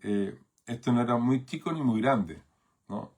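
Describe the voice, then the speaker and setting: Husky Chilean pastor preaching. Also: male voice, mid-range voice, animated, a little slow, darkish tone. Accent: Chilean Spanish. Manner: thoughtful, many fillers and tag questions. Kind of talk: sermon.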